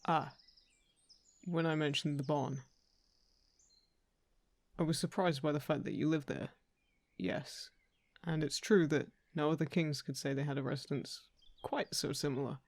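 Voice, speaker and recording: A man playing a polite, earnest royal envoy in a fantasy audio roleplay, speaking in a hesitant, gently persuasive way. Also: fairly high pitch, fairly even intonation, measured pace, long pauses, no room sound. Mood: reassuring, earnest. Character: polite, earnest male royal envoy seeking a lost heir.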